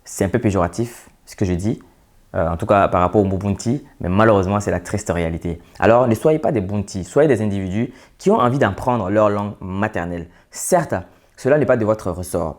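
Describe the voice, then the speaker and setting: A man from Côte d'Ivoire, giving an earnest, advising monologue to his viewers, with hesitant fillers. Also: fairly low pitch. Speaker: vlogger. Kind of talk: opinion monologue to camera.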